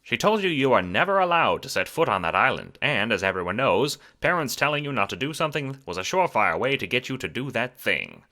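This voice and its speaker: A man giving dramatic, wry scripted narration for an audio drama, theatrical and expressive. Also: medium pitch, brisk, few pauses.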